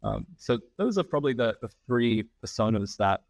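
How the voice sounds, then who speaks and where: A man in his thirties with an Australian accent, a podcast guest explaining his work in a measured way, with frequent "um" fillers. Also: mid-range voice, sing-song, no echo, clean studio sound. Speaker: podcast guest, founding partner. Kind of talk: conversational interview.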